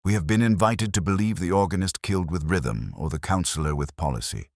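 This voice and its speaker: A man voicing a patient, shrewd police inspector, speaking in a measured, quietly probing way in a detective story. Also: sits low, vocal fry, dry recording, studio quality. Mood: accusing.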